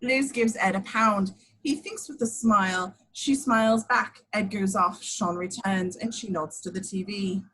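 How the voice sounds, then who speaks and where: A woman's voice: medium pitch, measured pace, few pauses, fairly bright tone, low-quality recording. A woman reading screenplay action lines as the narrator of a table read. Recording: home recording.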